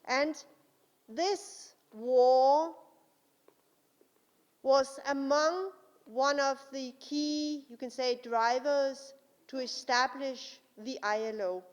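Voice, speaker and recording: Woman, Danish accent, guest speaker at a conference, slow and deliberate, echoing hall. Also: sits high. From Denmark. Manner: deliberate, slow and careful, with frequent uh pauses. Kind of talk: formal conference speech. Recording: long hall reverb.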